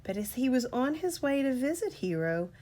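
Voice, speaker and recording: A woman reading a storybook aloud to a child as a parent, in an expressive, deliberate storytelling manner. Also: high-pitched.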